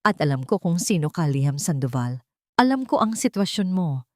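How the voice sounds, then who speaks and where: A woman's voice: low-pitched, very animated, normal speed, darkish tone, no room sound, clean studio sound. A woman with a Filipino accent voicing a cold, brooding rich man in a romance story, blunt and businesslike. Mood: cold, knowing.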